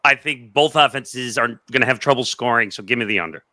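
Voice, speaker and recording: A man's voice: medium pitch, fairly quick, sharp tone, no echo, clean studio sound. A man giving his opinions as a panelist in a casual sports debate.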